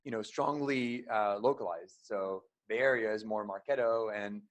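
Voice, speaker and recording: A man's voice: lowish voice, normal speed, no room sound. A man speaking as a panelist, explaining his advice in a conversational professional discussion. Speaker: marketing panelist.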